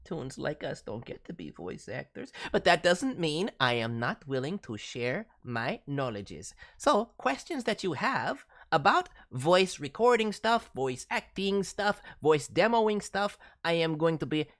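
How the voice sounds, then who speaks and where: A man voicing an excitable male cartoon raccoon, a reformed villain who hosts a livestream in a theatrical way, playfully mangling words. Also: fairly high pitch, a little slow, hardly any pauses, exaggerated voice. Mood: chatty, earnest. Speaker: host, voice director reviewing demo reels. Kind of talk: livestream hosting in character as a cartoon VTuber.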